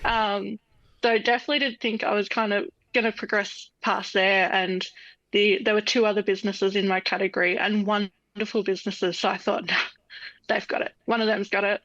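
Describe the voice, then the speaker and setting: A woman's voice: mid-range voice, flat delivery, normal speed, fairly bright tone, no room sound. A young woman, a guest on a podcast, chatting casually and laughing often as she tells stories. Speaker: guest artist. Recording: dull, dry sound.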